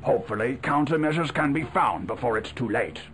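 An actor playing a grave, commanding rebel officer who delivers a measured, formal mission briefing in a video game. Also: male voice, mid-range voice, animated, a bit bright, lightly acted. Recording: music behind, 1990s game audio.

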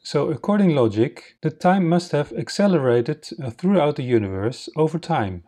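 A man whose native language is Dutch narrates a slideshow lesson, explaining formulas slowly and step by step. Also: a little high, expressive, dark tone. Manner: slow, methodical, explains step by step. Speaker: science channel host.

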